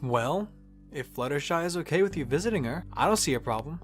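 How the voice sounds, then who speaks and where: A man's voice: on the high side, animated, brisk, faint music behind, lightly acted. A man voicing an anxious young stallion. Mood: earnest. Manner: anxious, earnest, stammering. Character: young stallion, worried protective older brother.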